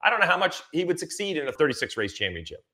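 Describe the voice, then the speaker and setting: A man's voice: a little high, big pitch swings, rapid-fire, bright, forceful tone. A man giving conversational motorsport analysis, emphatic and repeating phrases to drive points home.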